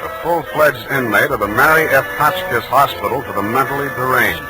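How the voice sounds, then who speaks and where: An actor playing a wry, hard-boiled private detective in 1940s radio style, deadpan and wisecracking. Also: male voice, mid-range voice, a little fast, close to an everyday voice, low-quality recording. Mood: wry, weary. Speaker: lead actor and narrator. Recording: 1940s radio recording with music.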